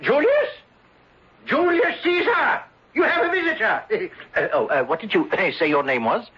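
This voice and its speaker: Jolly drunkard, high and swooping. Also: male voice, very animated, normal speed, bright, forceful tone, heavily acted. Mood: boisterous. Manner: rambling, stammering, theatrically hearty. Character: jolly, dishevelled drunkard gentleman, far gone in drink. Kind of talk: old-time radio drama acting. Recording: dull, hissy old radio broadcast.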